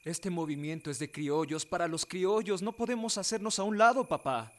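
A man's voice: high-pitched, moderate pace, close to an everyday voice. An actor playing an earnest, stubborn young man with a Mexican accent in a radio drama, speaking insistently. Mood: defiant. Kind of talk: Spanish-language radio drama acting.

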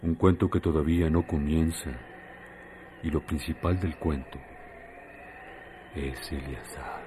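Very deep, slow male narrator. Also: steady tone, noisy background. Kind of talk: dramatic scripted narration. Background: music.

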